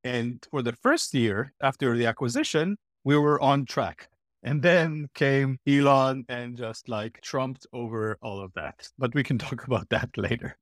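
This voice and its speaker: A middle-aged man from Israel, a podcast guest telling a long story in a blunt, animated way. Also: medium pitch, a little slow, dry recording, studio quality. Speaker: podcast guest, former platform executive. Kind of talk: interview answer.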